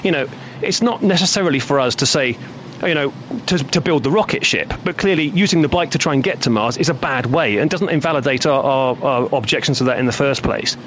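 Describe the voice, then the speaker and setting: A man speaking as a radio guest, firm and measured, listing his points one by one. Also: medium pitch, animated, fairly bright tone, lo-fi sound, a little hiss or hum.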